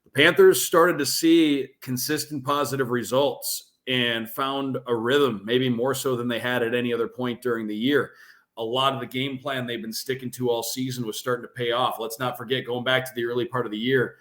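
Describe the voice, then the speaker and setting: A man's voice: mid-range voice, fairly even intonation, moderate pace, fairly bright tone. A man who works as a play-by-play broadcaster, giving fluent, emphatic sports analysis as an interview guest and building points through repetition.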